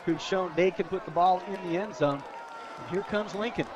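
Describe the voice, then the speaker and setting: A man's voice: sits high, normal speed, dull audio. A man giving conversational color commentary and analysis during a live football radio broadcast. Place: outdoor high school football stadium broadcast position. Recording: loud crowd at outdoor stadium. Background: crowd noise.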